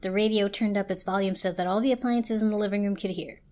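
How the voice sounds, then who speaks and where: A woman's voice: mid-range voice, steady tone, fast talker, darkish tone. A woman reading aloud as a storyteller, in a dramatic storybook narration style. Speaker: story reader.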